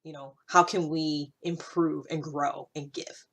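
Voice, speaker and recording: A woman's voice: sits low, fairly even intonation, measured pace, vocal fry, fairly bright tone. A woman in her thirties hosting a vlog, talking in a casual, reflective monologue with a rambling, conversational, self-interrupting manner. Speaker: vlog host.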